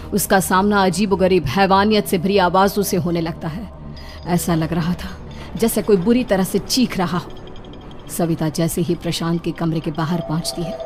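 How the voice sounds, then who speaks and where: A woman's voice: fairly low pitch, a little mellow. A woman narrator delivering a scripted horror story.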